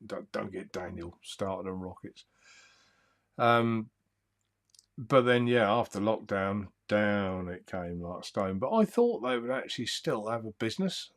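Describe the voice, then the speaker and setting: A man hosting a live stream, chatting in a calm, soothing, easygoing way and reading out viewer comments. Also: on the low side. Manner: rambling, easygoing, chuckles often. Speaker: livestream host.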